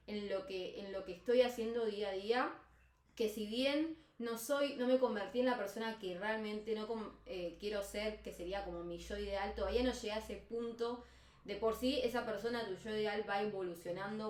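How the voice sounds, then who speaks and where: A woman's voice: mid-range voice, darkish tone, recorded from a distance. Candid young Buenos Aires woman, roomy echo. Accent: Buenos Aires Spanish. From Argentina. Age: young adult. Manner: candid, rambling with restarts and repetitions. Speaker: vlogger. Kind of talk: personal confessional monologue.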